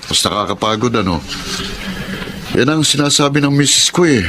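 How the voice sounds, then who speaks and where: A man's voice: mid-range voice, animated, normal speed, natural voice. A male actor playing a casual, teasing drinking buddy in a radio drama. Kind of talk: Filipino radio drama acting. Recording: loud background noise, outdoor ambience.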